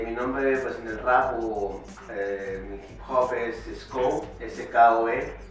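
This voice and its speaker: A man's voice: medium pitch, recorded from a distance. A man, a rapper talking casually as a guest with lots of slang and fillers. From born in Chicago, raised in Mexico City. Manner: relaxed, slangy, many fillers and restarts. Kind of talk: casual interview chat. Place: small home recording studio. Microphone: far camera mic. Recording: echoey room, voice from afar.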